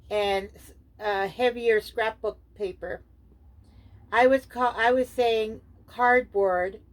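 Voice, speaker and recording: A woman's voice: on the high side, low-quality recording. A middle-aged woman from Canada whose first language is German, talking casually and hesitantly through a crafting show-and-tell, with many fillers and self-corrections. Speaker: crafting vlogger.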